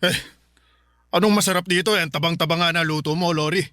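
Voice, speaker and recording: Radio drama: hot-headed Filipino father, loud and insulting, very forceful, fast delivery. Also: fairly high pitch, fairly even intonation, soft background music. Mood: angry, scornful. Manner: loud, scornful, argumentative. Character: short-tempered, insulting, bullying Filipino husband and father.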